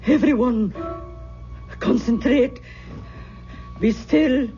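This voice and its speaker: An actress playing an anxious, reluctant older aunt who holds seances, halting and solemn, in a radio mystery drama. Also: female voice, on the high side, mellow. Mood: solemn, intoning. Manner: halting, solemn, old-fashioned phrasing. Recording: dull old radio recording, music behind.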